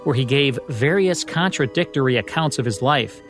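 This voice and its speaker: A man's voice: medium pitch, animated, normal speed, fairly bright tone, faint music behind. An American man reading scripted narration as the host of a radio show.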